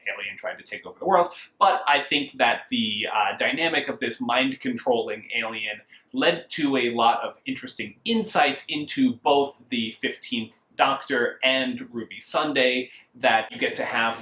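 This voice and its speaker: An American man giving a casual book review in a conversational, measured way, often qualifying his opinions. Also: mid-range voice, pushed and bright. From United States. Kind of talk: casual review talk to camera. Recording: roomy, echoing indoor sound.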